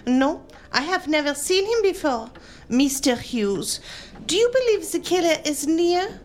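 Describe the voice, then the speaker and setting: An actress playing an anxious society hostess in a comic murder-mystery audio drama, with breathless, melodramatic, formal delivery. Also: female voice, high-pitched, sing-song, measured pace. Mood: alarmed. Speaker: party hostess.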